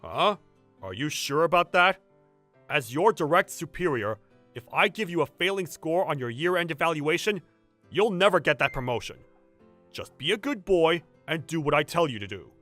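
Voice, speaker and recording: A man's voice: on the high side, animated, normal speed, a bit bright, soft background music, pitched above the performer's own voice. A man voicing a domineering, smug senior colleague in a manga dub, condescending and threatening in his way of talking.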